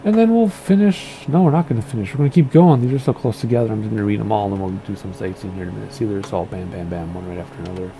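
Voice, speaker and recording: A man's voice: on the low side, rapid-fire, hardly any pauses, mellow, a little hiss or hum, rough recording. A man casually commenting on a flight sim game and reading place notes aloud, losing his voice and stumbling over names with a playful, rambling manner. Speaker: gaming streamer.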